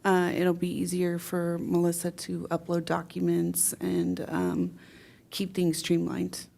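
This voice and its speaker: A woman's voice: fairly low pitch, slow pace, creaky, dark tone. A woman on city staff explaining things in a matter-of-fact way in a meeting room.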